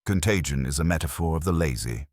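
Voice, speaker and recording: A man's voice: a bass voice, lively intonation, quick pace, a little mellow, dry recording, clean studio sound. A man voicing an imperious, pedantic genius detective in an audiobook, with pompous, precise and formal speech.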